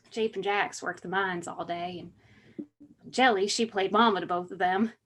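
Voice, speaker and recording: An actress playing a bookish, wistful mountain daughter in a stage monologue, storytelling lyrically with an Appalachian accent. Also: female voice, mid-range voice, steady tone, takes it slowly, fairly bright tone, slight background noise. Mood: fond, wistful. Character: bookish, wistful mountain daughter reminiscing about her father.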